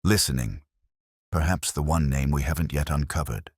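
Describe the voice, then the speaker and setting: A man voicing a courteous, grave police inspector in a story, measured and solemn. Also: extremely low pitch, husky, dry recording, studio quality. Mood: quietly pensive.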